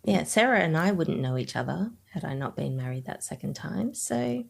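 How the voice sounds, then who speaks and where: Gravelly low woman host. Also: lively intonation, normal speed. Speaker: podcast co-host. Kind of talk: casual chat.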